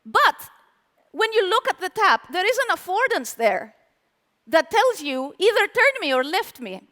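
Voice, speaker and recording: High-voiced, animated woman on a conference stage. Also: unhurried, big-room sound. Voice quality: very high-pitched voice. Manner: animated, playful, asks the audience questions. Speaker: conference speaker. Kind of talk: live tech conference talk. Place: conference hall with live audience.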